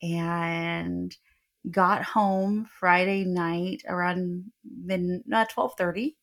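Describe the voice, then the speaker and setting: A middle-aged woman co-hosting a casual podcast from the floor of her bedroom, telling stories in an animated, joking way. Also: fairly low pitch, drawn-out words, gravelly. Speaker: podcast co-host. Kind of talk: casual chat. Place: bedroom in a new home, sitting on the floor.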